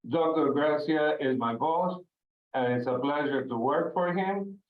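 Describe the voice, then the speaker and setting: A man's voice: on the high side, unhurried. A man from Argentina with an Argentine Spanish accent, a native Spanish speaker and psychiatrist introducing himself in a friendly, simple way. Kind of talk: self-introduction on a video call. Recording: phone-like audio.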